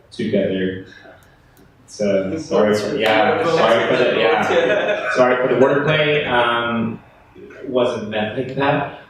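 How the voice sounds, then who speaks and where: Brief: young male host of a live panel, casual, heard from far off in a very echoey hall. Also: lowish voice. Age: young adult. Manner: informal, apologetic asides. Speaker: host of a student panel event. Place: large hall at a live event. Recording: echoey room from far off, faint noise.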